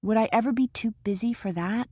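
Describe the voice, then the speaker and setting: An anxious, impulsive young woman, read by a woman narrator, pitched higher than the narration and pleading in tone. Her speech is eager and quick to protest, and the recording is close and dry. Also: warm tone, no echo, natural voice.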